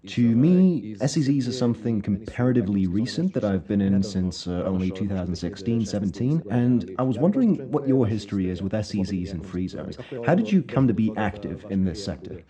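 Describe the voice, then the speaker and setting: A man from Serbia hosting a podcast interview in a relaxed, conversational way. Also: fairly low pitch, fairly quick, few pauses, a crowd in the background. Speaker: podcast host.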